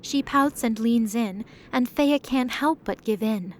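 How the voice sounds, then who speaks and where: A woman giving steady, scripted storytelling narration for an audio drama. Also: on the high side, measured pace, a little hiss or hum. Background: background music.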